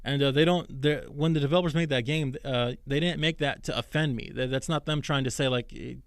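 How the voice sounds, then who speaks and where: Fast-talking man rambling on a podcast. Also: medium pitch, fairly even intonation, no room sound, clean studio sound. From Iraq. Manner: rambling, many restarts and fillers. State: sleepy. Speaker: podcast host. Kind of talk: casual live podcast chat.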